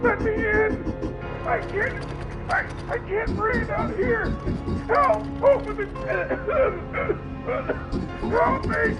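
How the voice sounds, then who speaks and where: Panicked dad choking, super high screams, drawn out words, loud music, audio drama. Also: male voice, flat delivery, drawn-out words, pauses often, exaggerated voice, low-quality recording. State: gasping, screaming. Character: desperate father choking in a sandstorm. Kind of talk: scripted audio drama acting.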